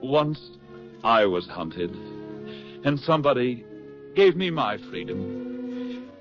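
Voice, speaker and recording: An actor playing a haunted, gentle man finding his way back, halting and earnest, in 1940s radio drama style. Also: mid-range voice, very animated. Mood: earnest, relieved. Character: haunted, gentle man recovering from a broken mind. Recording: muffled 1940s radio recording, music behind.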